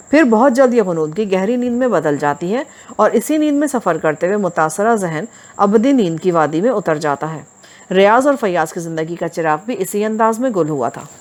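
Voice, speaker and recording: Woman narrating a crime audiobook. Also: on the low side. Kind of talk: scripted narration of a crime novel.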